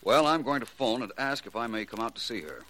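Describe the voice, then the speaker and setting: An American actor playing a shrewd, self-assured gentleman detective in 1940s radio style, polished, courteous and unruffled. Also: medium pitch, sing-song, fast talker. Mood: brisk, cordial. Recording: noisy vintage radio recording with clicks.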